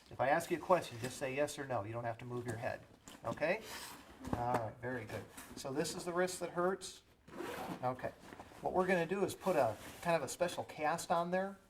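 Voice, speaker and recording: Male EMT for an educational demo, calming a young patient with plain explanations, slight background noise. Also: fairly high pitch, moderate pace. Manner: reassuring, explains each step simply. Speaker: EMT with a rescue squad. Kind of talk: educational demonstration talking to a child patient.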